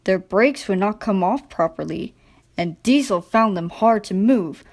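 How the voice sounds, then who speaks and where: A woman's voice: medium pitch, expressive, unhurried, a little mellow. A woman narrating a scripted children's storybook.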